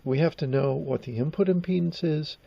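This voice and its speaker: A man in his sixties presenting an informal technical explanation in a halting way, with frequent pauses, in a small room at home. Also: fairly high pitch, vocal fry, breathy edge, recorded from a distance, highs cut off. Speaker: presenter of a vintage radio electronics channel.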